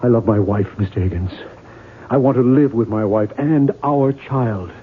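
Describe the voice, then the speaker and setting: A man's voice: mid-range voice, normal speed, natural voice. Tormented married male writer, played by an actor, weary and emphatic, mid-range voice, thin hissy 1970s radio sound. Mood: anguished, desperate. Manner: earnest, anguished, emphatic. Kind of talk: radio mystery drama acting. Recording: tinny, noisy 1970s radio broadcast.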